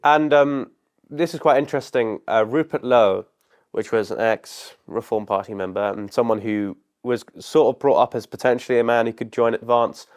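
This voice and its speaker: A man's voice: mid-range voice, moderate pace, clear, resonant tone. A man interviewing guests about politics, putting long questions to them.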